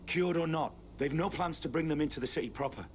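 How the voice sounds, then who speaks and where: A man's voice: a little high, quick pace, a little hiss or hum, natural voice. An actor voicing a blunt, reassuring townsman in a video game, sharing news in a matter-of-fact way. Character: gossiping adult male townsman, blunt and reassuring.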